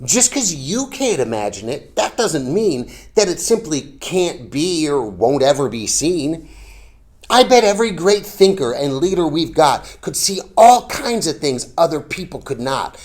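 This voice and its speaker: Reader as an eager, cheeky schoolboy, very animated. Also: mid-range voice, a little slow, voice pushed up. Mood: earnest. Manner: eager, earnest, tall-tale telling. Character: imaginative, cheeky, unabashed young schoolboy storyteller.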